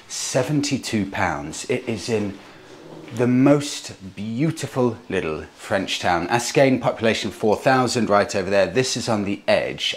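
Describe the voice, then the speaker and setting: A man's voice: low voice, a little hiss or hum. A man in his thirties giving a chatty, enthusiastic tour of a small hotel room, recorded on a lapel mic. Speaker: travel vlogger. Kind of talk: casual travel vlog narration.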